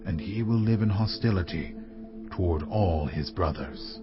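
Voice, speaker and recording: A very deep, grave angel. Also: male voice, expressive, measured pace. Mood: grave, prophetic. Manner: solemn, pronouncing prophecy. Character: angel of the Lord, grave male heavenly messenger. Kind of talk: dramatized scripture reading. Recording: dull lo-fi sound, music underneath.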